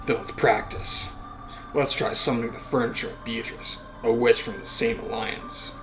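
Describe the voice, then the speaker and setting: A man's voice: medium pitch, fairly even intonation, normal speed, over music. A man who hosts a let's play reads a visual novel aloud and adds casual asides with swearing.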